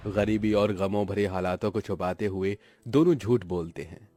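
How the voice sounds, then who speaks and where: Low-voiced male cartoon story narrator. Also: normal speed, warm tone, faint music behind. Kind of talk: dramatic scripted storytelling for a cartoon.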